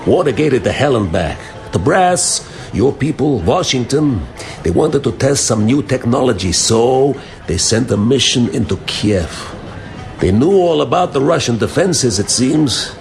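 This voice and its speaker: An actor playing a world-weary, sardonic underworld informant in a radio drama. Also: male voice, mid-range voice, very animated, unhurried, creaky. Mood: sardonic, knowing. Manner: sardonic, knowing.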